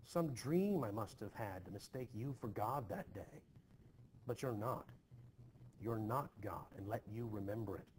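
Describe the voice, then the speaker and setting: A man playing a stern, troubled, short-tempered Puritan farmer in an expressive play reading, with forceful archaic phrasing. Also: fairly low pitch, a little slow. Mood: indignant. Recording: noisy home recording.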